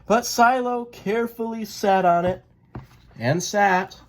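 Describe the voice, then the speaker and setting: A person reading a children's picture book aloud in a gentle, expressive way. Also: mid-range voice, slow pace. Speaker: read-aloud storyteller.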